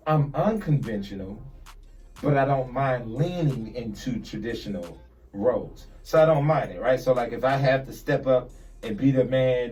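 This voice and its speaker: A man's voice: medium pitch, darkish tone, recorded from a distance. A man hosting a podcast panel who keeps chiming in to affirm and encourage the other speakers. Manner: affirming, encouraging, chimes in often. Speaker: podcast host. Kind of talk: casual panel discussion.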